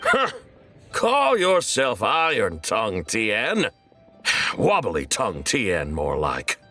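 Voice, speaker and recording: A man's voice: lowish voice, wildly swooping pitch, slow pace, bright, forceful tone, soft background music, exaggerated voice. A man playing a wry townsman in a video game. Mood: wry, impressed. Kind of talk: video game character acting.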